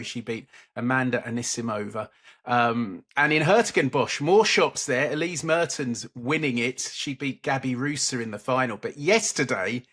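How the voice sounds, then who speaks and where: Man, podcast host, husky voice, mid pitch, brisk chatty delivery. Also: a bit bright. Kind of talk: casual podcast chat.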